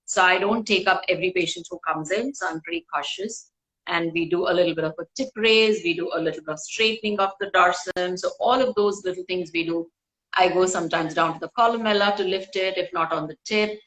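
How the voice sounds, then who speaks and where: A woman from India, a guest doctor speaking in a measured, polite way in a live online interview over a video call. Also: on the low side, a bit bright, rough recording.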